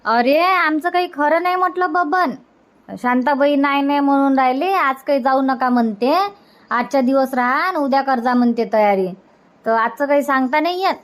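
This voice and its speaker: A woman's voice: sits high, moderate pace, close to an everyday voice. A woman voicing a mild, dutiful older village mother in a cartoon comedy, with a Varhadi Marathi accent and a plaintive, explaining manner. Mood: helpless. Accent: Varhadi Marathi from Vidarbha.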